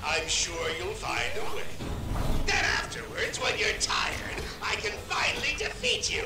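An actor playing a pompous, blustering middle-aged mad scientist villain in a video game, theatrical and grumbling. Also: male voice, high voice, huge pitch swings, a little slow, creaky, noisy background, full character voice. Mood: sarcastic, exasperated. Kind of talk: video game cartoon voice acting. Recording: loud music, echoey, distant.

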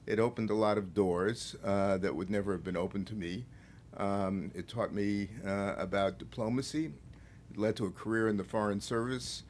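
Older man with a low voice at a standing mic. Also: steady tone, normal speed, a little mellow, slight background noise. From Brooklyn. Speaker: audience member asking a question. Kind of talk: measured audience comment. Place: bookstore event space.

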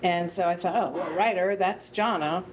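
A woman's voice: fairly low pitch, a little fast. A middle-aged woman telling an informal story to an audience in a hall. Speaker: invited speaker. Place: indoor rehearsal hall, standing before audience. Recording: faintly noisy hall.

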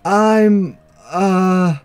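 A man's voice: high-pitched, extremely slow, a little mellow, smooth. A man voicing a flustered, anxious teenage boy posing as a schoolgirl in a visual novel, nervous and stammering. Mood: flustered, panicked.

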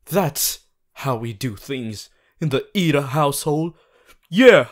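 A man playing an earnest, bossy, worried young student hero in an audio roleplay, talking to the listener in an insistent, halting, emphatic way. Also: medium pitch, animated. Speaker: voice actor.

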